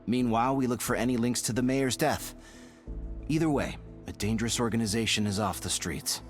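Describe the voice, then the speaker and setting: An actor voicing a wry, joking squad agent in a video game, with dry humor. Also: male voice, medium pitch, a little fast, soft background music, natural voice. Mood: serious. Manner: dry humor, lively delivery.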